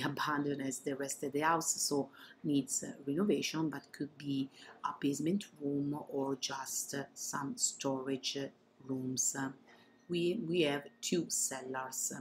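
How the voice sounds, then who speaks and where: A woman from Italy with an Italian accent narrates a property tour as an estate agent, in halting non-native English phrasing. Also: deep voice, slight background noise, low-quality recording.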